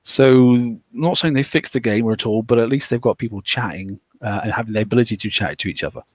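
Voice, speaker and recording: A man talking loosely in a relaxed group discussion. He rambles, circles back and stacks up fillers and restarts. His voice sits at an ordinary male pitch on a dry, close microphone. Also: quick pace, dry recording. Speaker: podcast host.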